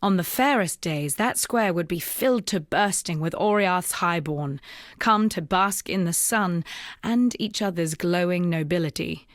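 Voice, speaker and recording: Actress doing a grave young rebel woman for a fantasy game, lowish voice, measured and solemn, really dry close sound. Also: dry recording, natural voice. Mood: wistful. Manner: measured, solemn, storytelling delivery. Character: grave, resolute young woman rebel, former courier and slave. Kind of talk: dramatic scripted video game dialogue.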